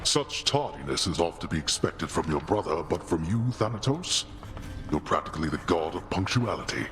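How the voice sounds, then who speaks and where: A very deep-voiced, stern old god of the underworld, with lively, swooping delivery and pompous archaic wording. It is dramatic game acting with music underneath. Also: male voice, normal speed. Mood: reproachful. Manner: pompous, archaic formal speech.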